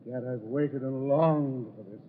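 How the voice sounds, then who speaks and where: An actor playing a guilty, blustering swindler and nervous bridegroom in an old-time radio horror play, melodramatic and jittery. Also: male voice, mid-range voice, unhurried, natural voice. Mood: forced cheer. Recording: dull, noisy old radio broadcast recording.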